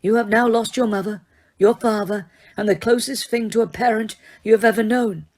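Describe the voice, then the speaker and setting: A woman's voice: a little high, pitched above the performer's own voice, close to an everyday voice. Dumbledore, as a woman reads him, a serene old wizard. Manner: calm, quiet, unhurried. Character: calm, serene elderly male headmaster wizard. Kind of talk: audiobook character reading.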